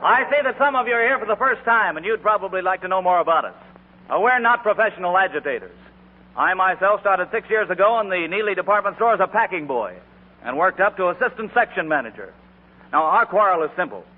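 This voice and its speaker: An actor playing a plain-spoken, confident store union organizer in a 1940s radio drama, on an old broadcast recording. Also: male voice, sits high, animated, fast talker, in a large hall, phone-quality sound. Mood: confident, earnest.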